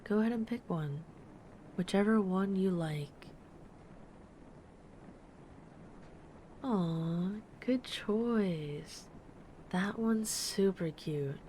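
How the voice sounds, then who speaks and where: A woman playing a gentle, affectionate dragon friend in an intimate comforting audio roleplay, speaking softly and tenderly to the listener. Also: mid-range voice, a little hiss or hum, natural voice. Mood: soft, delighted. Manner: soft-spoken, tender, unhurried reassurance. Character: gentle, affectionate female dragon friend who loves soft, cuddly plushies.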